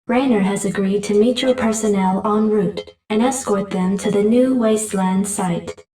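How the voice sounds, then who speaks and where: A woman's voice: mid-range voice, moderate pace. Echoing female military computer. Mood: detached, formal. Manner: clipped, formal, matter-of-fact. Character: calm female military computer adjutant, an artificial intelligence. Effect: echoing computer voice processing. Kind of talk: scripted game briefing. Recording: echoing, distant, slightly noisy processed audio.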